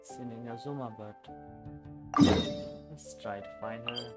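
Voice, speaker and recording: A man's voice: mid-range voice, fairly even intonation, unhurried. A man giving casual, laconic live commentary as he streams a game, half talking to himself. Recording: loud game music behind.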